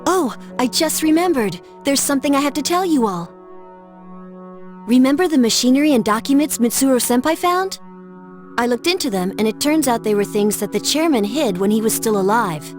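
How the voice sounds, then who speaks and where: A woman playing a gentle, studious teenage girl in a video game, explaining things politely and earnestly. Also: high voice, expressive, moderate pace, faint music behind.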